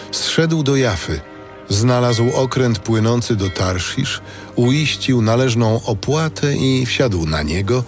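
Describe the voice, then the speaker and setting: A man narrating scripture in a solemn, deliberate storytelling style for a dramatic audio production. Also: mid-range voice. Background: loud cinematic music and effects.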